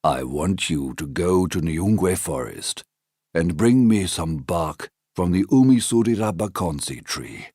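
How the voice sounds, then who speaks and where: A man voicing a weak, ill king who talks feebly in a low, quiet voice. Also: expressive, a little slow, husky, no room sound, studio quality, heavily acted. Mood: weak, ailing.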